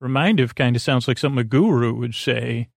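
A man hosting a bedtime podcast, talking in a meandering, halting, repetitive way that trails off into tangents, with a creaky voice. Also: mid-range voice, no echo, studio quality. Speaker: podcast host. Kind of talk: rambling bedtime podcast monologue.

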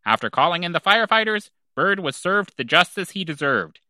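Man, podcast comedy performer, sharp bright voice, dry recording, scripted banter. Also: high-pitched, big pitch swings, a little fast, dry recording. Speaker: podcast cast member.

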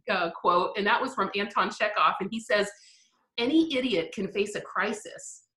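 A middle-aged woman being interviewed, speaking in an animated, reflective way and laughing often. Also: medium pitch, reverberant, poor audio. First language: English. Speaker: guest. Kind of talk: interview conversation.

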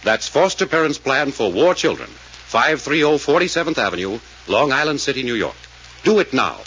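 A man's voice: medium pitch, sing-song, moderate pace, a bit bright, slightly rough. A man announcing a scripted 1940s radio charity appeal in a solemn, emphatic manner. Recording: muffled old radio recording with noise.

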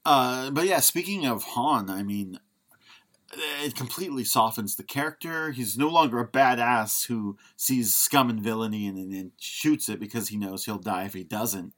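A man's voice: mid-range voice, moderate pace, a bit bright. A man co-hosting a podcast, speaking in a casual, conversational way as he discusses films. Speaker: podcast co-host.

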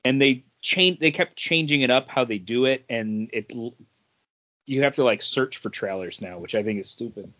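A man's voice: medium pitch, moderate pace, a bit bright, muffled recording. A man co-hosting a podcast, talking in a casual conversational way. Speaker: podcast co-host. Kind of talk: casual chat.

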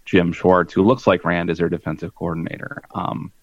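A man with a very low, deep voice chats about sports in a halting, wry way, leaning on "um" and "you know." The recording is dry and a little dull, like a lo-fi mic in a dead room. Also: flat delivery, dry recording. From small-town Minnesota. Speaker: podcast co-host. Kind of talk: casual sports chat.

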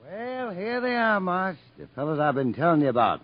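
A man's voice: high voice, big pitch swings, moderate pace. An actor playing a folksy, teasing Southern working man with a sly streak in a 1950s radio drama. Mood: hearty, introducing. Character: sly, teasing Southern railroad laborer turned go-between. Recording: dull 1950s radio broadcast recording.

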